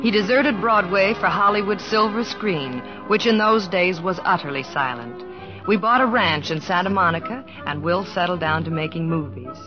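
Devoted, gently nagging wife played by an actress, old radio drama, dull recording. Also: female voice, fairly low pitch, moderate pace, lightly acted. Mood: fond reminiscing. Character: devoted wife of a comedian, earnest, gently nagging, narrating their life. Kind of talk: 1950s radio drama narration and acting. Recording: dull vintage radio recording, faint music.

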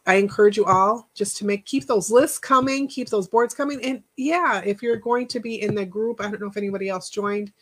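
A woman hosting a casual live chat, rambling and encouraging, thanking viewers by name, with a stuffy nose and a voice that is going hoarse. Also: medium pitch, a little fast, few pauses. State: losing her voice. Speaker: podcast host.

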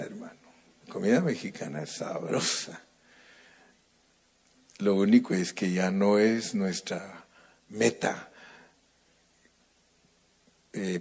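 Man, preacher, slow emphatic delivery, fairly low voice, church sermon, fervent prayer. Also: darkish tone, slightly rough. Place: church service, at night.

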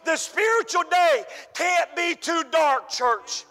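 Male pastor, fervent shouting preacher, strained voice, bright edge, echoing church hall. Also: very high voice, animated, takes it slowly. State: losing his voice. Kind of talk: sermon.

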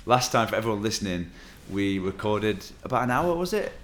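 A man's voice: fairly low pitch, big pitch swings, brisk, a bit bright. A man hosting a podcast in a casual, conversational interviewing style. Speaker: podcast host. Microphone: podcast microphone. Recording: roomy echo with faint noise.

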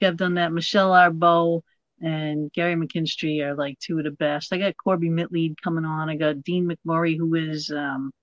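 A woman from Massachusetts with a Massachusetts accent and a rough voice, hosting a casual chat and talking bluntly. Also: sits low, dry recording, dull audio. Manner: blunt, quick and laughing. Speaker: podcast host.

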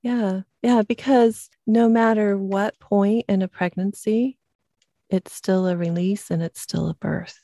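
A woman hosting a podcast, speaking gently and affirming her guest in a supportive conversation. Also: mid-range voice, fairly even intonation, measured pace, dry recording. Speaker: podcast host.

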